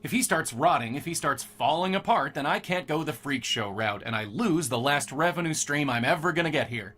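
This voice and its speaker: An actor playing an arrogant, impatient, demanding boss in an audio drama, sarcastic and quick to interrupt. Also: male voice, a little high, animated, fairly bright tone, faint music behind. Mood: demanding, cold.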